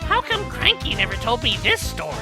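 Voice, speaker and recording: A woman's voice: on the high side, big pitch swings, fairly quick, over music. A woman voicing a young male monkey sidekick, cheeky and chatty, in a cartoon audio drama.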